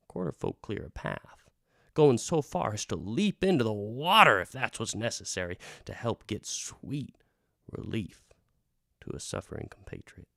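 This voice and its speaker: A man storytelling in the persona of a travelling bard, theatrical and wry, dropping toward hushed tones and swelling for emphasis. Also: sits low, vocal fry, dry recording, clean studio sound. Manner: theatrical, hushing to near whispers and swelling for emphasis; wry, conversational asides to the listener. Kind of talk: dramatic folk-tale storytelling, part radio drama.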